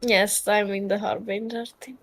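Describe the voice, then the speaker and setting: A woman's voice: mid-range voice, flat delivery, normal speed, fairly bright tone. A woman chatting casually while playing a game with a friend, full of dry, teasing comebacks. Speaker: co-op gamer. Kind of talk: casual chat while gaming.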